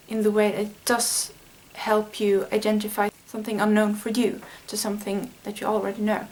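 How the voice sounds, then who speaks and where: A woman's voice: mid-range voice, darkish tone, rough recording. A Swedish-speaking woman explaining language study in a casual, earnest vlog lesson, thinking aloud with small fillers. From Sweden. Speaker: language learning vlogger.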